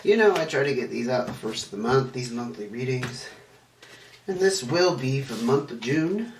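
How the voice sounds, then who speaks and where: A man's voice: mid-range voice, animated, moderate pace. Congested nasal man reading tarot. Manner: meandering, chatty, self-correcting. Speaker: tarot reader. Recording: echoey room, distant mic, faint noise.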